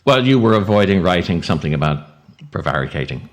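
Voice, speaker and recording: A man's voice: sits low, sing-song, fast talker, voice pushed down. A man voicing his own nagging, guilt-tripping conscience in a comic sketch, hesitant and stammering as he needles. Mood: hesitant, eager. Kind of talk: comedic two-handed sketch dialogue.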